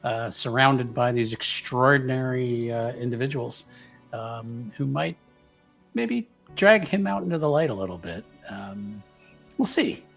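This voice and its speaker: A man's voice: mid-range voice, lively intonation, a little slow, vocal fry, fairly bright tone, husky, soft background music. A man, a player in a tabletop roleplaying show, speaking casually in a hesitant, understated way. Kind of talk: casual self-introduction.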